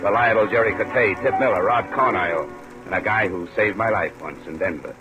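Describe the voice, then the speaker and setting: An American actor playing a tough, terse insurance investigator in an old radio detective drama, with clipped, hard-boiled, commanding delivery. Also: male voice, on the low side, fairly quick, dull audio, music in the background, close to an everyday voice. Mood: dry, matter-of-fact. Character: tough, terse, self-assured male freelance insurance investigator. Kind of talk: 1950s radio detective drama, first-person narration and dialogue. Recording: noisy hissy old radio broadcast.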